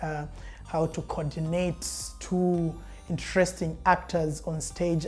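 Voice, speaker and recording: A woman's voice: low voice, dark tone. A young woman, a student playwright, speaking earnestly and thoughtfully in an interview.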